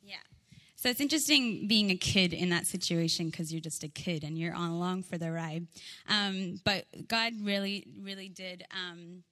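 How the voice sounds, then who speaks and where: A woman's voice: lowish voice, moderate pace, sharp tone. A young woman from Kazakhstan giving an informal, earnest personal testimony in a church interview. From Kazakhstan, Central Asia. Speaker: prayer ministry leader being interviewed. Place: church gathering in a reverberant room. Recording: long hall reverberation.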